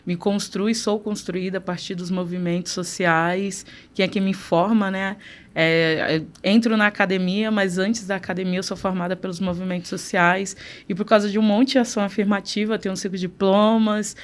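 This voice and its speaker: A woman in her thirties from Recife, talking as a podcast guest in a lively, warm way with emphatic stresses. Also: medium pitch. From Recife, Pernambuco, Brazil. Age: 30s. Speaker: guest, city councillor. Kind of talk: casual interview.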